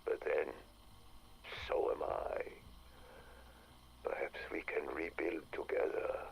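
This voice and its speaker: Tired guilt-ridden soldier, very low voice, gravelly, resigned. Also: male voice, flat delivery, slow, muffled recording, slight background noise, exaggerated voice. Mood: weary. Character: weary, guilt-ridden older male soldier.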